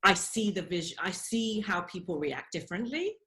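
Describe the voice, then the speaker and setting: A woman's voice: fairly low pitch, expressive, moderate pace, sharp tone. A woman in her forties with a British accent, a professor answering questions on a radio show and speaking earnestly, with frequent fillers and self-corrections. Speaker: professor, interview guest. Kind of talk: radio interview.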